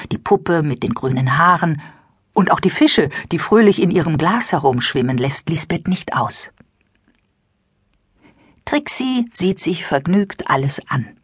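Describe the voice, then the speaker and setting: A woman narrating a children's audiobook with expressive, scripted storytelling. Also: deep voice, measured pace, slightly rough, no echo, studio quality.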